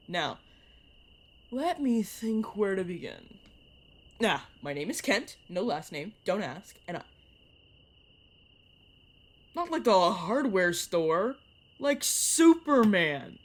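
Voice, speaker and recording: A woman's voice: mid-range voice, big pitch swings, a little slow. A woman voice actor playing a playful, sarcastic young vampire mentor who chats and teases in an audio roleplay. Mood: casual, wry. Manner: teasing, sarcastic, chatty. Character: playful, teasing vampire mentor, turned at nineteen. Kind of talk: narrative audio roleplay, one-sided conversation.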